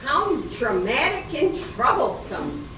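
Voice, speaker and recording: An amateur actress playing an excitable, theatrical woman in a comic radio play, with emphatic delivery on a live stage. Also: high voice, takes it slowly, heavily acted. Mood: earnest, theatrical. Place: live stage performance in a hall. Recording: echoey, distant, dull, noisy stage recording.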